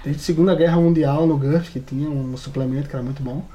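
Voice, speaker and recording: A man's voice: a little high, steady tone, quick pace, mellow, lo-fi sound. A man with a Brazilian Portuguese accent chatting casually as a podcast co-host and game master.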